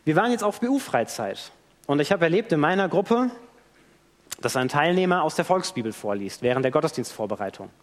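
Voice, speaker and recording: A man with a fairly high voice gives a sermon in a church. His pitch rises and falls a lot as he tells the story and acts out the quotes. A long reverb hangs behind him in the hall. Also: a little fast. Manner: animated storytelling with acted-out quotes. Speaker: preacher.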